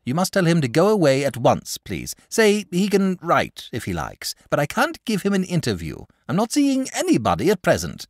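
A man voicing a lazy, gentle, cultured male dragon in a storybook reading, languid and unhurried. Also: fairly high pitch, huge pitch swings, dry recording, clean studio sound, voice pushed up, heavily acted. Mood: plaintive. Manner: languid, unhurried, mildly plaintive.

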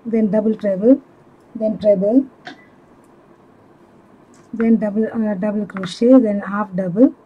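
A woman giving step-by-step craft instructions in a measured, halting manner, as a tutorial instructor. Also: mid-range voice, fairly even intonation, slightly rough, phone-quality sound. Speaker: crochet instructor.

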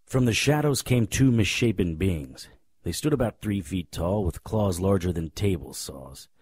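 Deep, husky hard-boiled detective. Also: male voice, expressive, unhurried, a little mellow, dry recording, clean studio sound, exaggerated voice. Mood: wry narration. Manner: wry, tough-guy delivery. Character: hard-boiled, wisecracking male private detective. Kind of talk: first-person noir radio drama narration.

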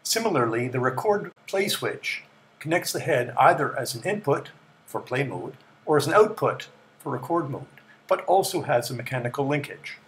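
A man's voice: mid-range voice, lively intonation, a bit bright, poor audio. Man narrating precisely over faint background noise. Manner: measured, precise, matter-of-fact. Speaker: presenter of a vintage electronics tour. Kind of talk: scripted explanatory narration.

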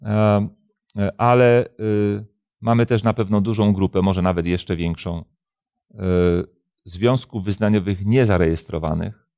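A man in his fifties, a pastor hosting a programme, answering religious questions in a measured, deliberate way with hesitant pauses. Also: lowish voice, fairly even intonation, darkish tone, no echo, studio quality. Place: indoor studio, seated at a desk.

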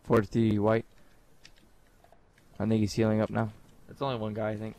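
A man's voice: on the low side, completely flat delivery, normal speed, a little mellow. A man giving terse, casual callouts and reactions while playing a shooter game.

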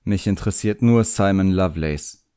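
A man's voice: low-pitched, moderate pace, dark tone, clean tone, natural voice. A man voicing a proud, sullen young boy apprentice magician in an audiobook, defiant and muttering. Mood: vengeful.

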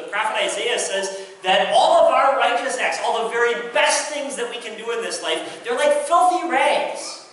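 A man's voice: a little high, pushed and bright, a little hiss or hum. A young man preaching a sermon from a church pulpit, earnest and repetitive in his emphasis. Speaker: pastor. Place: large church sanctuary. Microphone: pulpit microphone, filmed from far below. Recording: very echoey church from far off.

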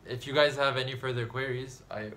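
A young man from Pakistan hosts a relaxed chat, asking questions and then summing up what he heard. His voice sits at an ordinary middle pitch, and faint room hiss runs behind it in a small dorm room. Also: brisk. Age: 20s. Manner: asks questions, recaps answers. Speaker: host, medical student. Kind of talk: casual interview.

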